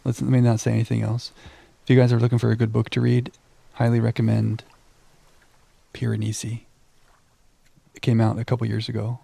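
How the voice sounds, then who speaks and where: A man's voice: medium pitch, completely flat delivery, a little fast, vocal fry, pauses often, dark tone, no echo. A man hosting a game livestream, chatting casually and self-deprecatingly with viewers and reading on-screen text aloud into a close microphone.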